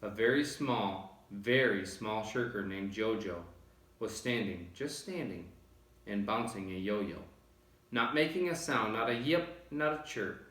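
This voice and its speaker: A man's voice: on the low side, a little slow, a bit bright, roomy sound. A man narrating a rhyming children's storybook aloud, with expression. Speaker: storybook reader.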